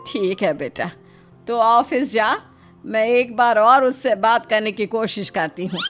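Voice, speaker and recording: A woman's voice: a little high, animated, unhurried, a bit bright. A woman voicing a middle-aged mother-in-law in a cartoon story, motherly but fretful and scolding. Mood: exasperated, pleading. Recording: music behind the voice.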